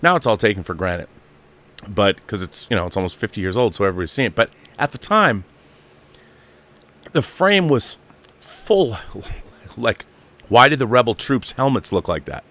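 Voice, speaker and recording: A man's voice: on the low side, big pitch swings, moderate pace, pauses often, a bit bright. A man in his fifties hosting an online show, talking off the cuff in a casual, emphatic fan monologue and repeating phrases for stress. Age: 50s.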